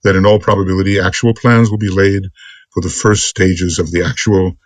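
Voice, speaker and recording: A man giving an extemporaneous spiritual lecture as a teacher, speaking earnestly in deliberate, halting phrases. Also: deep voice, little pitch movement, no room sound. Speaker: esoteric teacher.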